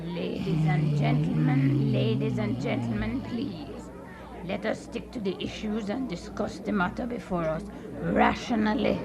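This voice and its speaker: A woman's voice: lowish voice, unhurried, gravelly, a loud crowd. A woman playing an earnest, self-important animal chairing a meeting in a radio play, pompous and orderly. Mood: solemn. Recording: faintly noisy live radio recording.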